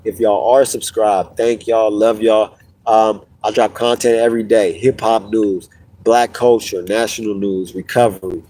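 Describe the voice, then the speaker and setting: A man's voice: fairly low pitch, unhurried, rough recording. A man hosting a casual podcast livestream, telling stories with lots of repeats and restarts, sniffling as he gets over a cold. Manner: animated storytelling, repeats and restarts phrases. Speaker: podcast host.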